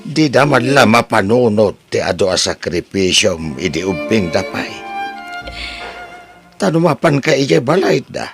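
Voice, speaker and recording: A man acting out a concerned Ilocano relative in a radio drama, always questioning. His gravelly voice moves lively up and down at an unhurried pace. Faint music runs under him. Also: fairly low pitch, exaggerated voice. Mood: indignant. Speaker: voice actor in an audio drama. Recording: soft music behind.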